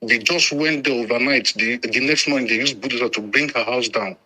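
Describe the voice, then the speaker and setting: A man's voice: mid-range voice, brisk, sharp tone, rough recording. A man from Lagos with a Nigerian accent, calling a radio phone-in, speaking emphatically and spontaneously with repetition and some Pidgin. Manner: agitated, emphatic, repeats himself.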